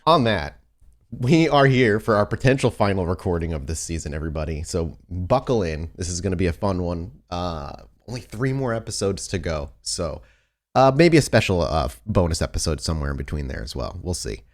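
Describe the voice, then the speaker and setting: A man's voice: sits low, animated, fairly quick. A man hosting and narrating a tabletop role-playing podcast as its storyteller. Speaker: host and game storyteller. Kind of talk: casual show chat and game narration.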